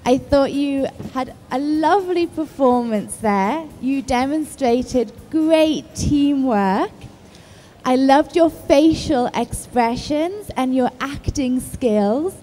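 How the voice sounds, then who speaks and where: Lady judge, highish soft-toned voice, slow encouraging comments, echoey hall with faint music. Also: lively intonation, slight background noise. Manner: encouraging, measured. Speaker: competition judge. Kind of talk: giving spoken feedback to performers.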